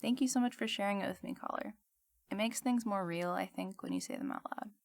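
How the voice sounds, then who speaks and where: A woman playing the musing host of an eerie fiction radio show, speaking in a measured, contemplative way. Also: medium pitch, sing-song, creaky. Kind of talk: scripted reflective fiction narration.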